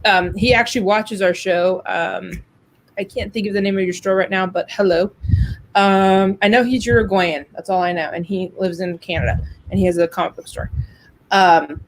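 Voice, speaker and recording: A woman's voice: mid-range voice, steady tone, moderate pace, slight background noise. An American woman from New Mexico co-hosting a show in casual chat, speaking in a candid, outspoken, informal way. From New Mexico, USA. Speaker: co-host of a business show.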